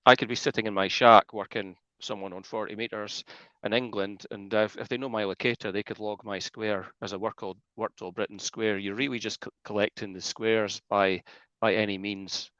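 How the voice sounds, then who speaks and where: A man's voice: on the low side, level tone, moderate pace, fairly bright tone. A man from the United Kingdom, a guest explaining something casually, on slightly lo-fi audio.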